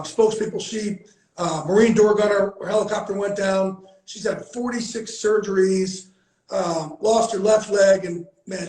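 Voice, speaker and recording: A middle-aged man with a fairly high voice talks bluntly and earnestly, swearing freely. His words ring in an echoey room. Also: darkish tone. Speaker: host, founder of a veterans and players group. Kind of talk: candid conversation.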